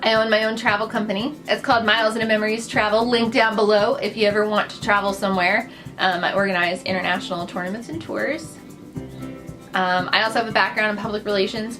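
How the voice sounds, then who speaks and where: Chatty young woman over background music. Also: mid-range voice. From Netherlands. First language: Dutch. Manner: chatty, upbeat, frequent fillers and asides. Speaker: vlogger. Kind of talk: casual list of personal facts to camera.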